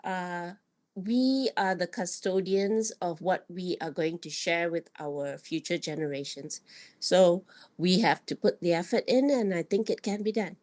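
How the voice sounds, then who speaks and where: A woman from Malaysia joining an online discussion by video call, speaking in an unhurried way with many filler sounds. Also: on the low side, a little mellow. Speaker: listener asking in.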